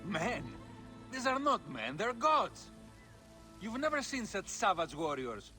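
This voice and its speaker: Arena fanatic, game: high and forceful, awestruck fervor, slight music bed. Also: male voice, sing-song, slow, exaggerated voice. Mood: reverent, excited. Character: fervent, awestruck fan of arena gladiators. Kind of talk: video game cutscene acting.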